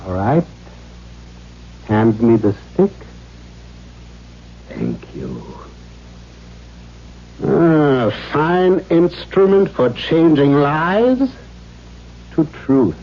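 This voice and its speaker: An actor playing a cruel, domineering middle-aged father in a 1970s radio drama, mock-gentle and formal as he menaces his daughter. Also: a little high, big pitch swings, extremely slow, long pauses, heavily acted. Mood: threatening, gloating. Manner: mock-gentle, formal phrasing, erupting into demands. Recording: dull, noisy 1970s radio recording.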